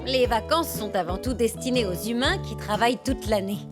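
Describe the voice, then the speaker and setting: Woman doing a snooty sarcastic cartoon cat, high lecturing voice, music underneath. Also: moderate pace, natural voice. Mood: smug. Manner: exasperated, lecturing. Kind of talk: French cartoon dubbing.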